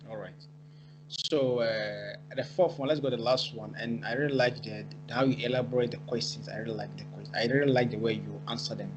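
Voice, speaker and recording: A man's voice: medium pitch, steady tone, a little fast, poor audio. A man hosting an interview, encouraging his guest and speaking in loose, rambling phrases. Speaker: interviewer and channel host. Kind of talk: casual interview. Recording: music playing behind.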